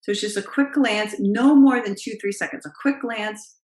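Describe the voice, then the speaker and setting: A middle-aged woman with a naturally low voice, giving emphatic, expressive advice as a confidence coach straight to camera. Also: normal speed. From Italian heritage. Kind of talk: direct-to-camera advice vlog. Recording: roomy, echoey room sound.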